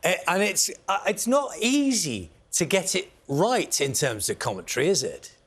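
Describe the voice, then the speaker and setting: A man's voice: high voice, very animated, normal speed, a bit bright. A man presenting live news, interviewing conversationally and prompting with questions.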